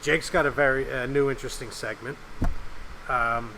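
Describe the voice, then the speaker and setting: A man co-hosting a casual podcast, slightly raspy from a recent cough, rambling and joking. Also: mid-range voice, fairly even intonation, normal speed, bright, forceful tone, a little hiss or hum, rough recording. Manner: rambling, jokey, swears casually. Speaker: podcast co-host and producer.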